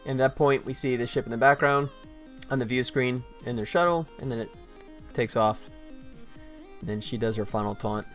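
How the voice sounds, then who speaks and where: A man talks casually through his work on a game development stream. His pitch is average for a man, and he thinks aloud in an informal way. Faint music plays behind him. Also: normal speed, fairly bright tone. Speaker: indie game developer, livestream host. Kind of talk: casual work-along commentary.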